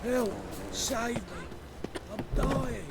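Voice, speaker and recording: An actor playing an excitable, frightened townsman in a fantasy video game, crying out in exclamations. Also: very high voice, drawn-out words, vocal fry, heavy background noise, full character voice. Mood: panicked. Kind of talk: video game background dialogue.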